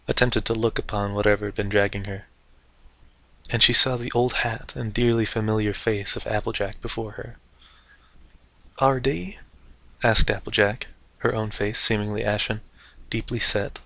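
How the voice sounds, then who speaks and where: A man's voice: on the low side, sharp tone, no room sound. A man narrating a story aloud in a theatrical, dramatic storybook style. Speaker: host and narrator of fan fiction readings.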